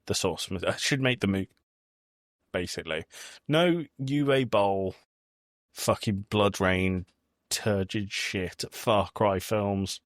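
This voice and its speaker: Male podcast host, lowish voice, very dry recording, casual review chat, blunt and sweary. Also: dry recording.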